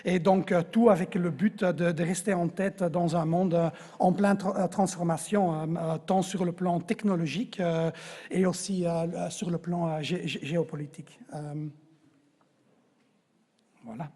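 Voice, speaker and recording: Flemish man, high and mellow, halting conference speaker, long hall reverb. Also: steady tone. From Belgium. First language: Dutch. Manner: halting, with constant hesitations and restarts. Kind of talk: conference talk. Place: large conference venue.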